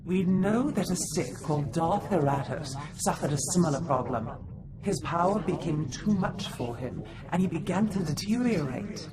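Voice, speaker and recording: A woman's voice: on the low side, lively intonation, normal speed, a crowd in the background, natural voice, low-quality recording. A woman voicing an earnest, formal, scholarly advisor in a game cutscene. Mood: earnest, explanatory.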